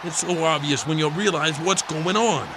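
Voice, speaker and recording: A man playing a grumpy, folksy, conspiracy-minded father-in-law in a scripted comedy sketch, gruff and emphatic. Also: fairly high pitch, moderate pace, crowd noise, natural voice. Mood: grumpy, smug. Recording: noticeable background noise.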